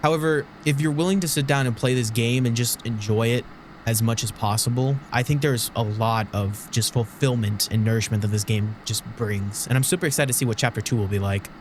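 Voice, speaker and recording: A man's voice: mid-range voice, fairly quick, slight background noise. A man giving casual, reflective, rambling commentary as he hosts a video game playthrough. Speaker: gaming video host.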